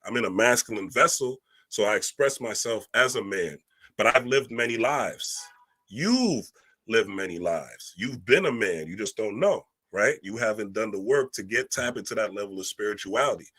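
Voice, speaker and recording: A man's voice: lowish voice, lively intonation, normal speed, a bit bright, slightly rough. A man giving a casual spiritual monologue, emphatic and preachy, using streetwise slang and checking in with "right?" often. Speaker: spiritual reader and speaker.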